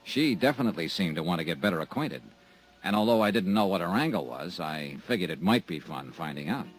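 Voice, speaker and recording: An actor playing a wisecracking insurance investigator in a 1950s radio drama, talking dry and laconic. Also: male voice, low voice, lively intonation, a little fast, poor audio. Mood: narrating. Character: wry tough male private insurance investigator. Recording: old radio recording with music.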